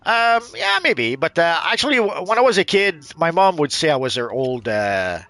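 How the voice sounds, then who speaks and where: Quebec-accent guy rambling over gameplay, lots of ums, lively voice, dry close mic. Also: on the high side, moderate pace, dry recording, faint music behind. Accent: Quebec French mixed. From Quebec, Canada. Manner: rambling, hesitant, many fillers and restarts. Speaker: gaming channel host. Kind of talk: casual commentary while playing.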